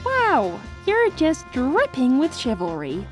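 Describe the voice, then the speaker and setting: A woman voicing a feisty, excitable young female hedgehog with a dramatic, sassy manner in a comic dub. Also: high-pitched, takes it slowly, faint music behind, heavily acted. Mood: defiant, sarcastic.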